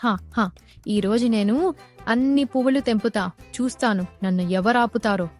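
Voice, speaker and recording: A woman's voice: fairly high pitch, normal speed, music in the background, natural voice. A woman voicing a bad-tempered, jealous young village woman in a cartoon story, scolding and sarcastic. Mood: angry, defiant. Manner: scolding, sharp-tongued, sarcastic. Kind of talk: cartoon moral story dialogue.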